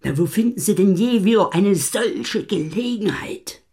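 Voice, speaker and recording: Radio play adviser: careful smooth talker, husky and gravelly, weighs every phrase, hesitant. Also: male voice, high-pitched, expressive, a little mellow, exaggerated voice. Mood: cautious, urging. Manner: halting, deliberate, searching for words. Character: hesitant, fussy male publishing adviser, smooth-talking and cautious. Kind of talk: German radio play acting.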